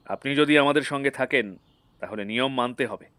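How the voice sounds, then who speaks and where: A man playing a serious, composed team leader with a measured, authoritative manner in an audio drama. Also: mid-range voice, pushed and bright, no echo, close to an everyday voice. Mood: firm, serious. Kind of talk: dramatic audio story acting.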